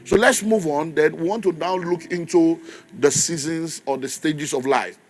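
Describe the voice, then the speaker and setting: A man's voice: fairly high pitch, moderate pace. A man in his fifties with a Nigerian accent, preaching a sermon in a church, stressing and repeating key phrases and leading the congregation in call and response. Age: 50s.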